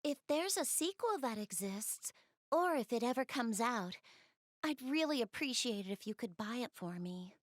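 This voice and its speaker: A woman playing a gentle, shy, bookish young girl in video game dialogue, soft-spoken and earnest. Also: on the high side, animated, moderate pace, no room sound, studio quality, natural voice. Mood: eager, polite. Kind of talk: video game cutscene dialogue, addressing the listener.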